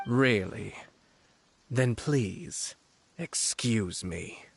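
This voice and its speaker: A man playing a composed young nobleman swordsman in an anime video game, speaking in a measured, formal and politely commanding way. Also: on the low side, animated, gravelly, slight background noise, exaggerated voice. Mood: calm authority.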